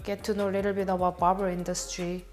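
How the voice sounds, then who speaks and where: A woman speaking English as a second language with a Korean accent, telling her story as a barber in a documentary interview, in halting phrasing and laughing at herself. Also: lowish voice, little pitch movement, soft background music.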